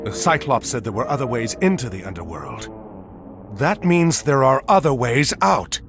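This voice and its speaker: An actor playing a bold, resolute middle-aged warrior hero in a video game, with a commanding delivery. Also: male voice, mid-range voice, sing-song, normal speed, music in the background. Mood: determined. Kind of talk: scripted video game cutscene acting.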